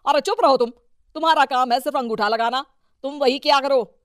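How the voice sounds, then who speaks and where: A man's voice: very high-pitched, fairly quick, a bit bright, no room sound, clean studio sound. A man playing a domineering, greedy, boastful husband and local politician in a cartoon story. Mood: angry, bossy. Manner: bossy, self-important. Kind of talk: Hindi cartoon story dialogue.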